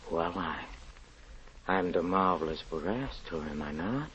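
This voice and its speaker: A sinister stage magician in an old radio drama. The voice is low, and he answers in halting, guarded murmurs, evasive and secretive. The vintage recording carries a faint bed of noise. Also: lively intonation, fairly bright tone. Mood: sly, evasive. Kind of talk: 1940s radio drama acting.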